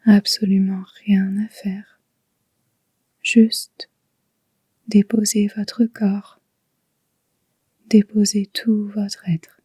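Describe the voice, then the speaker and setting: Female yoga nidra guide, soft and extremely airy, mellow tone, unhurried and calming, recorded dry. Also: medium pitch, no echo. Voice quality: soft, breathy, whispery. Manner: soothing, hushed, unhurried. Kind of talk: slow guided relaxation meditation.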